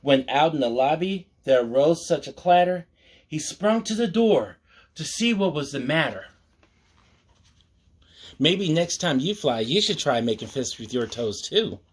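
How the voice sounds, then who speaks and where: A man hosting storytime, reading a picture book aloud with mock-earnest asides in a measured storyteller's manner. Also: a little high, lively intonation, breathy edge.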